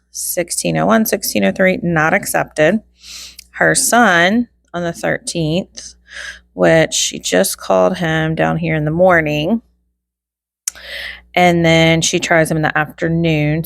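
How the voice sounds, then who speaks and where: A woman's voice: low-pitched, creaky, no echo, clean studio sound. A woman hosting true crime commentary, reading through a log informally and item by item, with wry asides.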